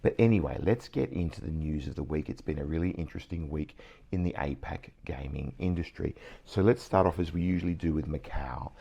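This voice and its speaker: A croaky, deep-voiced man in middle age hosts his vlog from indoors late at night. His tone is dark and mellow, and he keeps it hushed. He still brightens with excitement when a big number comes up. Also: a little fast, muffled recording. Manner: enthusiastic, excitable about numbers. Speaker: CEO and vlog host. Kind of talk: casual news commentary vlog.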